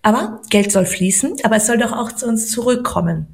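A middle-aged woman from Poland, a guest on a podcast, talking in an earnest, encouraging way and slowing down to stress her points. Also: fairly high pitch, creaky, a little mellow. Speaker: podcast guest, money coach. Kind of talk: motivational podcast talk.

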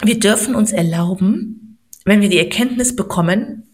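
A middle-aged woman from Poland, a guest on a podcast, talking in an earnest, encouraging way and slowing down to stress her points. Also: mid-range voice. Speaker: podcast guest, money coach. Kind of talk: motivational podcast talk.

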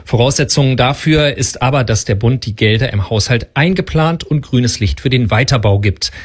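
A man's voice: medium pitch, moderate pace. A man filing a radio news report in a matter-of-fact way.

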